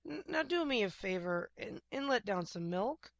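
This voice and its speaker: A woman's voice: medium pitch, lively intonation, moderate pace, no room sound, highs cut off, close to an everyday voice. A woman voicing an exasperated male farmer from a picture book, coaxing and exclaiming emphatically.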